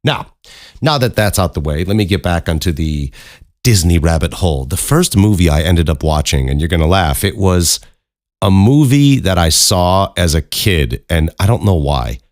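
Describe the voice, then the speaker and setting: A man's voice: low-pitched, animated, moderate pace, darkish tone, no echo. A man hosting a solo podcast in a casual, rambling, informal way, close to the microphone. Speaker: podcast host.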